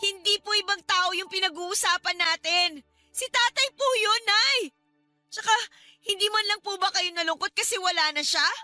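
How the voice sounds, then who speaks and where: Piercingly bright, pleading Filipino daughter. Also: female voice, extremely high pitch, normal speed, dry recording, soft background music, full character voice. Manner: pleading, earnest, wounded. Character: hurt, pleading young student daughter, respectful but insistent. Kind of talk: Filipino radio drama acting.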